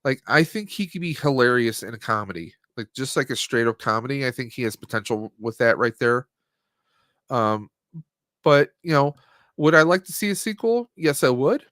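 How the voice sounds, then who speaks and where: A man's voice: mid-range voice, expressive, normal speed, fairly bright tone, no echo, clean studio sound. A man hosting a casual movie review stream, talking in a rambling, conversational way and often rephrasing himself. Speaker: podcast host.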